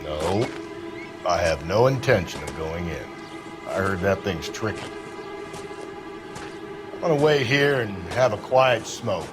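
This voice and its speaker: An actor playing a gruff, cynical, middle-aged American police detective in a 1940s crime game, blunt, slangy and world-weary. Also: male voice, deep voice, animated, measured pace, pauses often. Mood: dismissive, wary. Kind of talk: video game cutscene acting. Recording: music behind the voice.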